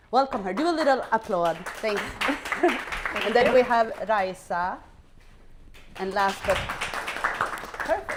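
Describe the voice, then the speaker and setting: A woman's voice: mid-range voice, very noisy. A woman from Sweden, moderating a live panel discussion in a casual, upbeat way. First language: Swedish. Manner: informal, upbeat, with fillers.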